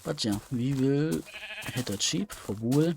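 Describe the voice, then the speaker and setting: A man's voice: medium pitch, unhurried, creaky, darkish tone, a little hiss or hum. A man giving casual, unscripted gameplay commentary, hesitant and rambling as he thinks aloud. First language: German. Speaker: let's play host.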